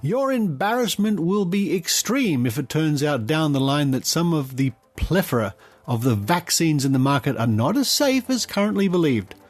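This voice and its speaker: Australian man, podcast host, deeper-than-middle or middle pitch, measured scripted news reading, deliberate phrasing, light music behind. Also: sing-song. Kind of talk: scripted news commentary, reading reports aloud.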